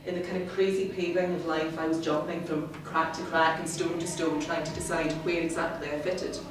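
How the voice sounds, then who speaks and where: A middle-aged woman, giving a prepared speech to a club in a measured, wry, self-deprecating way. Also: low-pitched, level tone. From Scotland, born in the Highlands. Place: indoor meeting room, in front of an audience. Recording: very echoey room, distant mic, clearly noisy.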